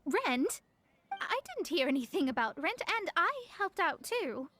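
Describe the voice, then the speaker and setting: Haughty young anime astrologer gal, high voice, formal but flustered, music playing under it. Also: female voice, sing-song, unhurried, fairly bright tone, exaggerated voice. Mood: indignant, resigned. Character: proud, flustered young female astrologer, dramatic and stiff. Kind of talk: anime game voice acting.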